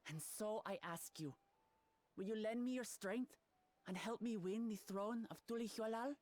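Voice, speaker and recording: A woman's voice: mid-range voice, moderate pace, darkish tone, close to an everyday voice. A woman voicing a spirited young princess in a video game, speaking in an earnest, resolute, plain-spoken way. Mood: earnest, determined. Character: earnest, spirited young princess seeking her father's throne. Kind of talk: video game cutscene acting.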